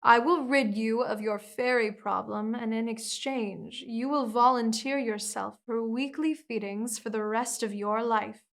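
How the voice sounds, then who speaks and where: A woman's voice: on the high side, fairly even intonation, unhurried, vocal fry, few pauses. A woman voicing a commanding, seductive female vampire queen in an ASMR hypnosis roleplay, speaking in a soothing, controlling way.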